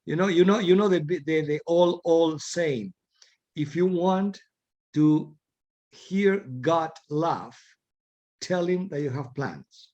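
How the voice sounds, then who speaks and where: A man's voice: a little high, slow, darkish tone, dull audio. Middle-aged Argentine man, thoughtful guest. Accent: Argentine Spanish. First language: Spanish. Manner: thoughtful, repeats words while searching for phrasing. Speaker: guest, executive coach. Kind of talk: casual interview talk.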